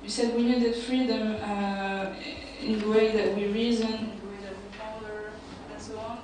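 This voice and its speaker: A woman's voice: mid-range voice, level tone, moderate pace. A young woman from Morocco, a student making a thoughtful comment during a lecture's question time, hesitant with fillers. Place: lecture hall. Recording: echoey hall from far off, noisy.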